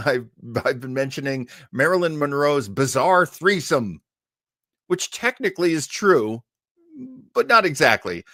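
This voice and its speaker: A man talks casually as a show host, close and dry on the microphone. His delivery wanders and circles back on itself, with jokey, self-deprecating asides. The pitch sits in an ordinary middle range. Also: fairly bright tone, dry recording. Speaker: host of an old time radio show program. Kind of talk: casual livestream chat.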